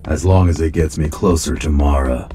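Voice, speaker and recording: A man's voice: very deep voice, moderate pace, mellow, far from the mic, soft background music. A man playing a terse, stoic cyborg ninja in a video game, curt and guarded. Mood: stoic, determined. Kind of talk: scripted game dialogue.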